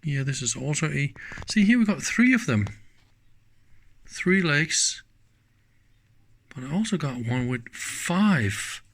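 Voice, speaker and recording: Slow-spoken man doing teardown commentary. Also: a little high, sing-song. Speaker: host of an electronics teardown channel. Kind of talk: hands-on teardown commentary, thinking aloud.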